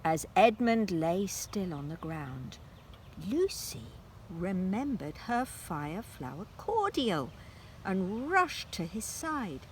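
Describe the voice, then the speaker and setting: A woman narrating a children's story aloud in an expressive, dramatic style with deliberate phrasing. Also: medium pitch, slight background noise.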